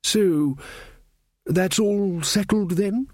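A man's voice: high-pitched, drawn-out words, darkish tone, no room sound, studio quality, voice pushed up, full character voice. A man voicing an earnest, pedantic young king who stammers and lectures cheerfully. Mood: earnest, fussy. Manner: pedantic, self-satisfied, stammering.

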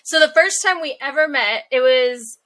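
Woman chatting on a podcast, high bright voice, kinda stuffy nasal, tells stories all animated. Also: moderate pace. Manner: animated storyteller. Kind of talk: casual chat.

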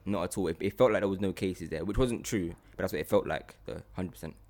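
A young man hosting a podcast, chatting casually and slangily in an animated, repetitive way. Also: deep voice, fast talker. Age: young adult. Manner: animated, repeats phrases for emphasis, slangy. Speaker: podcast host. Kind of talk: casual opinionated chat.

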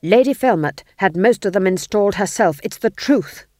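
A woman playing a loud, pleading ghost king. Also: mid-range voice, big pitch swings, moderate pace, dry recording, studio quality, voice pushed up, natural voice. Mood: desperate. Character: ghost of a male king, anxious and cowed.

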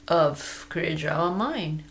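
A podcast co-host chatting casually, easygoing and unhurried, laughing often. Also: mid-range voice, animated, fairly bright tone. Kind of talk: casual chat.

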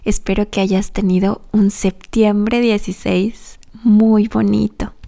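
A woman hosting a children's podcast, telling a history story in a gentle, simple storytelling way. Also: medium pitch, flat delivery, takes it slowly, gravelly.

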